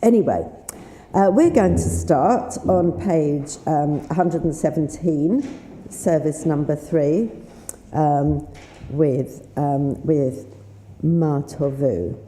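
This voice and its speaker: A woman with a slightly husky voice, leading a synagogue service in a chatty, unhurried way with fillers. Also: deep voice, mellow. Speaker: service leader. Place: synagogue sanctuary. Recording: roomy sanctuary sound with faint noise.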